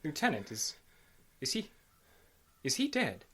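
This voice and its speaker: An actor playing a stunned, subdued surviving son in an old-time radio drama, with a husky voice. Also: male voice, on the high side, huge pitch swings, measured pace, fairly bright tone, full character voice. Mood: stunned, grieving.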